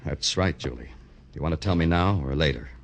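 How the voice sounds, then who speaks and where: A man's voice: rumbling low, a little fast, creaky. A man with an American accent playing a dry, tough police detective in a 1950s radio crime drama, clipped and wry. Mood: probing. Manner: clipped, wry, hard-boiled.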